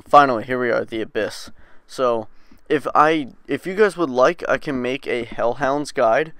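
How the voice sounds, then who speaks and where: A man's voice: medium pitch, clear, resonant tone, no echo. Young guy with a head cold, nasal and stuffy, easygoing gameplay commentary, clean dry voice-over. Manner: laid-back, rambling, frequent ums and swearing. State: congested, sniffly from a cold. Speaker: gaming channel host. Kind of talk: casual voice-over game commentary.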